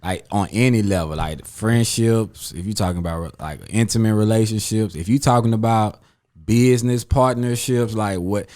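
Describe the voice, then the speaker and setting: A man hosts a relaxed chat among friends. He talks in loose, rambling stretches full of slang and fillers. His voice sits on the low side. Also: normal speed. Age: 30s. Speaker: podcast host.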